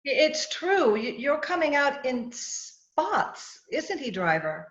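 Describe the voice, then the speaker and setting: A woman's voice: high voice, big pitch swings, slow pace, a bit bright, full character voice. A woman playing an earnest, curious young female companion in an old-time radio drama over a video call. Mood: concerned. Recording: roomy, dull video-call audio.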